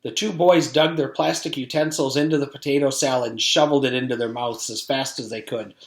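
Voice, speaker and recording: A man's voice: mid-range voice, fairly even intonation, brisk. A man reading a children's storybook aloud in the manner of a teacher with a class. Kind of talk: storybook read-aloud narration. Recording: echoey room.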